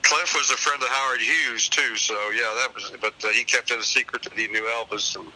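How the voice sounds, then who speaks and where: A man's voice: medium pitch, fairly even intonation, fairly quick, sharp tone, rough recording. An older man chatting casually as a call-in guest, telling anecdotes in a rambling, folksy, very polite way. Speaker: phone-in guest, singer and website owner. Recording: hissy radio call-in with clear noise.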